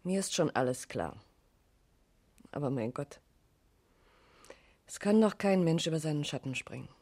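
Middle-aged woman in mourning, radio crime drama: halting, bitter, blaming herself. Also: low voice, expressive, dark tone. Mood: bitter sorrow. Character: grieving middle-aged woman questioned about her dead lover.

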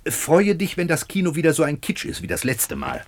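An actor playing a middle-aged judge and henpecked husband in a radio play, grudging and halting. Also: male voice, a little high, huge pitch swings, slight background noise. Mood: weary grumbling.

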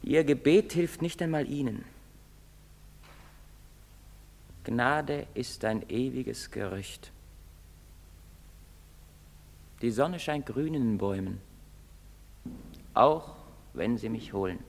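An actor playing a bitter, despairing young outcast in a German stage drama, declaiming in insistent short phrases. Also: male voice, mid-range voice, animated, measured pace, creaky. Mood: accusing, fatalistic. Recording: reverberant theatre stage recording.

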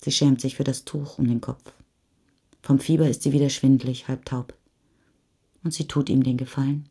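An actress playing the sober, measured narrator of a post-war chronicle in a German radio play. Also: female voice, rumbling low, steady tone, warm tone, husky, exaggerated voice. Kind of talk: literary reading in a radio play.

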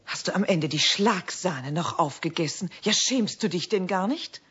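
A woman's voice: fairly low pitch, expressive, moderate pace, fairly bright tone, a little hiss or hum. A woman playing a strict, exasperated mother, scolding and sceptical, in a children's radio play. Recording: dull, lo-fi old radio recording.

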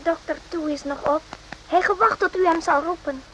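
Servile nurse, extremely high voice, halting broken phrasing, radio drama, faint noise. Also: female voice, steady tone, pushed and bright. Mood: polite, deferential. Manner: halting, ungrammatical, servile politeness. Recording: noisy old radio play recording.

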